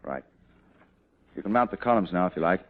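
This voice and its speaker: An actor playing a confident cavalry commander and devoted husband, in mid-century dramatic style. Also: male voice, sits low, expressive, a little fast, noisy background. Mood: grave, resigned. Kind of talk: mid-20th-century American dramatic acting. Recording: dull old radio-era drama recording.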